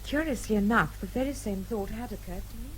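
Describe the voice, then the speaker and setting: A woman's voice: medium pitch, brisk, fairly bright tone, slight background noise, natural voice, low-quality recording. An actress playing a poised, curious young English woman in a radio drama, with a wry, understated manner. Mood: wry, composed. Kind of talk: British radio drama acting.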